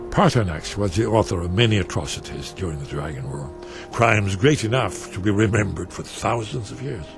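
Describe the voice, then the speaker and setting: A learned older man in a fantasy game, an archivist with a stern streak. He explains things deliberately, with music clearly behind him. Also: lowish voice, animated. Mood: stern, judging. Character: scholarly, stern older male archivist of a warrior order. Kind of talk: fantasy video game voice acting.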